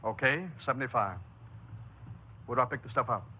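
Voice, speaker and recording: An actor playing a wary, haggling service station fence in a 1950s radio crime drama, with brisk colloquial speech. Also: male voice, mid-range voice, close to an everyday voice. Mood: wary, bargaining. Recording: dull, faintly noisy 1950s radio recording.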